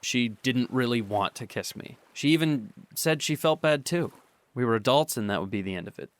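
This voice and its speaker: A man's voice: mid-range voice, lively intonation, moderate pace, natural voice. A man playing a guilt-ridden young adult confessing to his girlfriend in a hesitant, earnest radio drama monologue. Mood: guilty, regretful.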